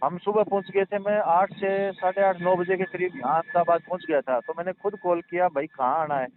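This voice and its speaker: A man's voice: high-pitched, fairly even intonation, brisk. A man explaining and reassuring in a rambling, repetitive, earnest way during a casual phone conversation. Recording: tinny phone call with faint noise.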